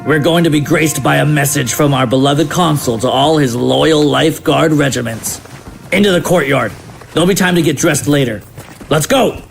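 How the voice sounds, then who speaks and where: Male actor as military officer, audio drama, gravelly, higher-pitched, brisk, commanding, clipped orders. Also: soft background music. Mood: urgent, commanding.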